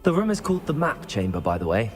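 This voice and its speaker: An earnest young wizard student in a fantasy game, voiced by a man. He speaks in a medium-pitched, lively voice, polite and eager, with faint music behind him. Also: fast talker, lightly acted. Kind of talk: cinematic video game acting.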